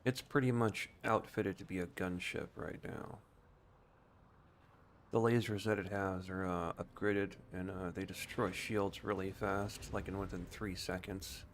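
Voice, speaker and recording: A man's voice: low voice, level tone, unhurried. A man giving casual gameplay commentary as he streams a game, at times calling out short voice commands. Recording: clear background noise.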